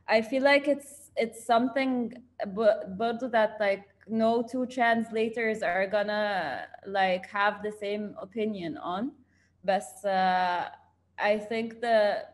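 A young woman speaking on a video call from a room at home, hesitant, with many fillers, slipping in Arabic words as she talks. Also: mid-range voice. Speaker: workshop participant and translator. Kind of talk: panel discussion on a video call. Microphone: laptop mic on a video call.

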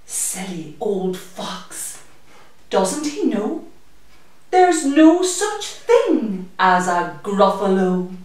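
A woman reads a children's picture book aloud, very slowly and with lively rises and falls. Her delivery is expressive and sing-song. She sounds distant in a very echoey room. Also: mid-range voice. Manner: deliberate, sing-song rhythm for young children. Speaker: children's story-time reader.

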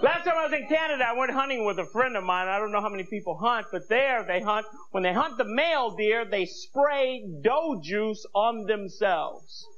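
An American man performs a stand-up routine in a very high-pitched voice. He is animated and exclaims as he acts out his bits. The sound is a dull, noisy old TV tape, with a club audience clearly audible behind him. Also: normal speed, few pauses, some chatter behind. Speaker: stand-up comedian. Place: comedy club stage with audience. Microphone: handheld microphone. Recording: muffled VHS TV recording, noisy audience. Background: audience noise and laughter.

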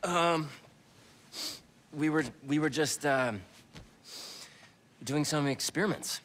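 An actor playing an excitable, earnest young man with new superpowers in a video game, talking in a casual, boyish way over music. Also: on the high side, slow pace. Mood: nervous. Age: young adult. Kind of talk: video game cutscene acting. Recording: music behind the dialogue.

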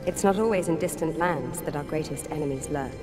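An actress playing a mysterious, all-knowing goddess figure in a game cutscene, speaking in a measured, solemn, prophetic way. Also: female voice, deep voice, over music, lightly acted. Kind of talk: video game cutscene acting.

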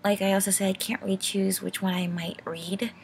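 A woman's voice: medium pitch, level tone, brisk, vocal fry, a little mellow, a little hiss or hum. A young woman giving a casual, rambling channel update in a hesitant, apologetic, nervous way. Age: young adult.

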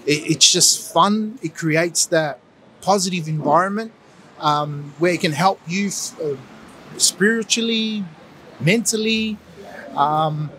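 A middle-aged man, raised in New Zealand, talking casually as a guest in a small studio, relaxed and hesitant, with many ums, into a handheld mic. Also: high voice, takes it slowly, slight background noise, rough recording. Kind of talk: casual chat on a live stream.

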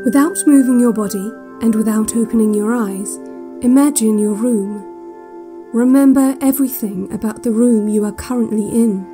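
An actress playing a calm, controlling relaxation-cassette instructor in an audio drama, measured, soothing and deadpan, quietly sinister. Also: female voice, fairly high pitch, over music, natural voice. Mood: hypnotic, deadpan.

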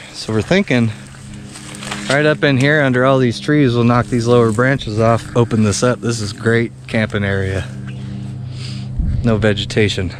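A man in his thirties casually narrating a vlog outdoors in a forest, talking in a laid-back, folksy, rambling way into a handheld camera. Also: mid-range voice. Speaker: vlogger. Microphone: handheld action camera.

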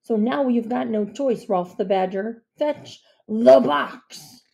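A scheming badger ringleader, as a woman reading aloud puts him on barks orders in a gruff, loud voice. He sounds commanding and gravelly, with a dark, mellow tone. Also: on the high side, a little slow, low-quality recording. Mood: bossy.

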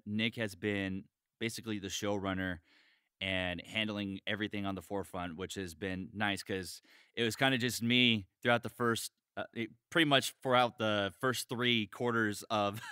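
A man's voice: on the low side, bright, forceful tone, clean tone, no room sound, studio quality. A man chatting casually and emphatically on a podcast, often repeating his words. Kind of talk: casual chat.

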